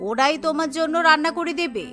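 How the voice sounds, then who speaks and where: A woman's voice: high voice, quick pace, fairly bright tone, soft background music. A woman voicing an imperious middle-aged mother-in-law in a cartoon, commanding and scolding. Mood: insistent. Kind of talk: cartoon character dialogue.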